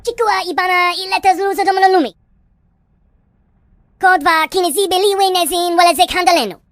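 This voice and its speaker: A tiny alien creature from a video game, babbling in a made-up tongue. The voice is extremely high, squeaky and childlike, racing along excitedly in a dry, close recording. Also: a little fast, no room sound, soft background music. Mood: excited chatter. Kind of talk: video game character acting.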